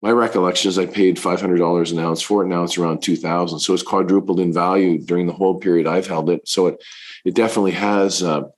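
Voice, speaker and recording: A middle-aged man, a fund manager, answering interview questions in a halting way with many fillers, his voice deepened by illness. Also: steady tone. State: recovering from covid, voice deepened.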